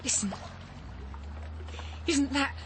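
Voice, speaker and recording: A woman's voice: high voice, drawn-out words, a bit bright, heavily acted. An actress in a radio drama playing a frightened, angry young mother pleading for her life. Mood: terrified. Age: young adult. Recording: noisy boat engine and water.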